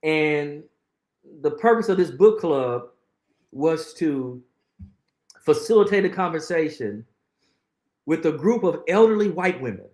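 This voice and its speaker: A middle-aged man with a somewhat high voice talks earnestly in an interview, pressing his points and repeating phrases for weight. The sound carries a noticeable room echo. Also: animated, a little slow, pauses often, a little mellow. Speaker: guest. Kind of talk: conversational interview, reflective storytelling.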